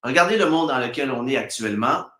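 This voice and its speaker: Animated Québécois man in an echoey room. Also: medium pitch, fast talker. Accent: Québécois French. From Quebec, Canada. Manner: animated, laughs often, acts out quoted voices. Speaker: spiritual guest. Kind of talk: casual live-stream chat.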